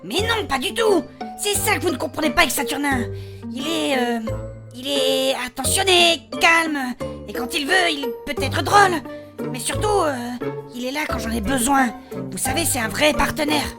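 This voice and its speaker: A woman plays a sentimental young fantasy heroine in a comic audio drama. Her high voice swings widely in pitch as she confides earnestly and bristles easily, with music clearly underneath. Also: animated, normal speed. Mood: earnest, fond. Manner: emotive, earnest, hesitant when confiding.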